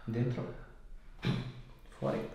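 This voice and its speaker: A man's voice: very slow, dark tone. A man, a chiropractor giving terse instructions and asking a patient short questions. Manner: terse, directive. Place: small bare treatment room, indoors. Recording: very echoey room, distant mic, some noise.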